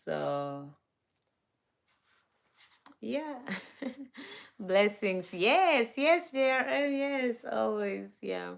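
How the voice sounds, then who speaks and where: A woman's voice: on the high side, animated, very slow, vocal fry, long pauses. A woman with a Kenyan accent hosting a casual livestream, chatting easily and greeting viewers, with many fillers and restarts. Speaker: livestream host. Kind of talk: casual livestream chat reading viewer comments.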